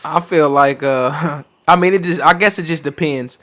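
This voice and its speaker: A man's voice: a little high, normal speed, a bit bright. A man on a podcast panel giving his opinion casually, reasoning it out in slangy talk.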